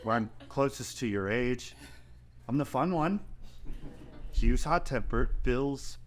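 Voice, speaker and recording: Actor as slow-talking, cheeky young suitor. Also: male voice, mid-range voice, lively intonation. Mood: playful. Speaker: actor at a table read. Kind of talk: live screenplay table read.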